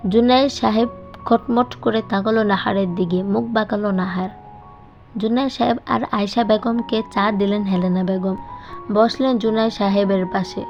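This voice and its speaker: A woman's voice: mid-range voice, steady tone, moderate pace, darkish tone, muffled recording. Woman narrating a story over music. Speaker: audiobook narrator. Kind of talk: scripted dramatic story narration. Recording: slightly band-limited, music bed behind. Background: background music.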